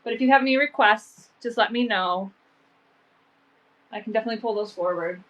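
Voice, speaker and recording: A woman's voice: fairly high pitch, a little fast, muffled recording, slight background noise. Chatty, sniffly woman selling vintage. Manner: chatty, lots of fillers like "um" and "like". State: sniffly from allergies. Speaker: online vintage seller. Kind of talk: casual live-sale chat.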